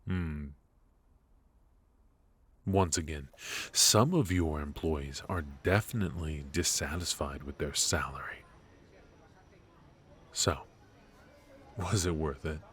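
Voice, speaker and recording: A man playing a suave, ruthless mafia boss in a noir audio roleplay, menacing and teasing, talking smoothly in short, deliberate phrases. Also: extremely low pitch, a bit bright, heavily acted. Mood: probing, cool.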